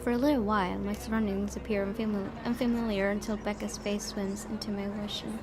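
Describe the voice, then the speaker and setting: Woman, gaming host, medium pitch, visual novel read-aloud with casual commentary, noisy home recording. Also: flat delivery, brisk.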